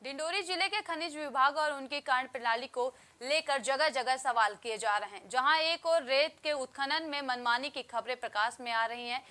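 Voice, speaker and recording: A woman reading scripted television news bulletins in a crisp, formal newsreader manner. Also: high-pitched, normal speed, hardly any pauses, bright, forceful tone. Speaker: news anchor.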